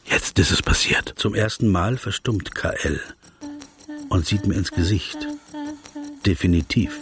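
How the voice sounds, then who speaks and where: A man playing a reserved, observant interviewer in a radio play, narrating and questioning in a measured, restrained way. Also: low-pitched, wildly swooping pitch, exaggerated voice. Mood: hushed, observant. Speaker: interviewer and first-person narrator. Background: music.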